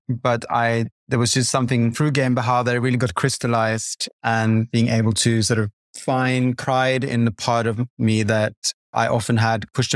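Soft-spoken man from Norway, reflective and halting. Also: mid-range voice, dry recording, studio quality. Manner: thoughtful, halting, personal. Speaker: guest designer. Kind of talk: reflective interview answer.

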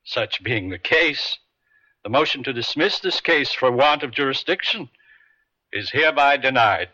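Stern judge, authoritative, deliberate formal delivery, bright hard-edged tone, old radio drama, dull vintage recording. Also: male voice, mid-range voice, expressive. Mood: measured, authoritative.

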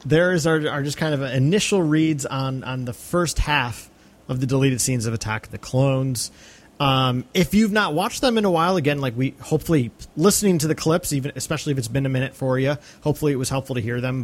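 A man co-hosting a casual film podcast, agreeable and a little halting, often repeating words as he goes. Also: mid-range voice. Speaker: podcast co-host.

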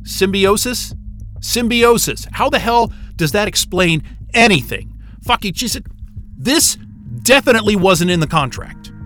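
Casting: irate male astrophysicist, sci-fi radio play. Sharp, high-pitched, sarcastic, swears freely. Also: expressive, a little slow, soft background music. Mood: outraged, incredulous. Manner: exasperated, sweary, incredulous. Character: angry, sarcastic, foul-mouthed male astrophysicist. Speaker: astrophysicist character in audio drama. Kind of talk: naturalistic sci-fi audio drama acting.

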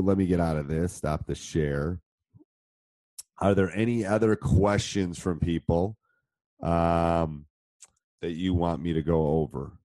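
A middle-aged man with a deep voice, a little hoarse from a cold, explaining basketball coaching in a casual live session full of ums and restarts. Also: mellow, no echo. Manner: conversational, many ums and restarts. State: has a cold. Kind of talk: live online coaching session answering questions. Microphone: handheld mic during live stream.

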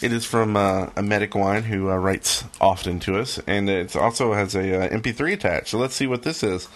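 A man's voice: fairly low pitch, normal speed. A man co-hosting a podcast, reading listener emails aloud in a loose, off-the-cuff way. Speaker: podcast co-host.

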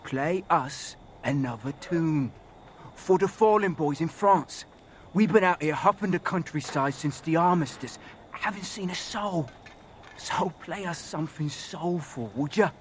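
An actor playing a mouthy, war-weary young soldier in an audio drama, cheeky and excitable. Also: male voice, on the high side, unhurried, a little hiss or hum, close to an everyday voice. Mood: mocking, excited.

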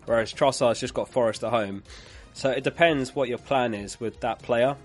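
A man's voice: mid-range voice, moderate pace, faint music behind. A man who is losing his voice, hosting a live fantasy football stream in a chatty way, reading out viewer comments and laughing along.

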